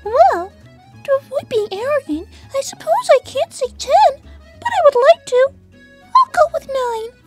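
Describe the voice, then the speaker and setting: Super high squeaky lil bunny girl, cartoon, swoopy, so sweet and proper. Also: sing-song, a little slow, soft background music, exaggerated voice. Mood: prim, cheerful. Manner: prim, polite, childlike. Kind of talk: cartoon character answering fan questions.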